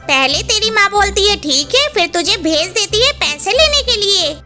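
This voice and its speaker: A woman's voice: very high-pitched, lively intonation, normal speed, bright, forceful tone, faint music behind, full character voice. A woman voicing a fussy, talkative male parrot in a cartoon story, who talks in a chatty, grumbling way.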